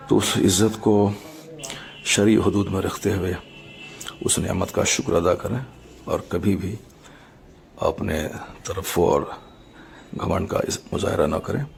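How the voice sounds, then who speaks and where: Very gravelly, low-voiced man. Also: fairly even intonation, a little mellow, slight background noise. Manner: formal, measured, halting in short phrases. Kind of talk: explanatory public statement.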